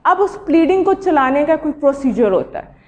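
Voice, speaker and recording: A woman with a Pakistani accent giving an informal law lecture, speaking haltingly and mixing in English legal terms. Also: high-pitched, lively intonation. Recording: dull lo-fi audio.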